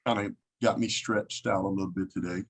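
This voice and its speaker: Laid back guy, kinda husky voice, talking over a video call, says man a lot. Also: sits low, fairly even intonation, a little fast. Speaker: podcast guest. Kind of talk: casual conversation.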